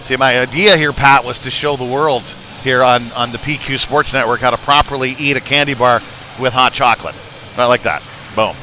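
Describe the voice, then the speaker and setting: A man with a mid-pitched voice gives live hockey commentary over clear rink noise. His delivery is folksy and chatty, picking up energy as the play develops. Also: fairly even intonation, moderate pace, pushed and bright. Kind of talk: live sports commentary with casual asides. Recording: noisy live rink ambience.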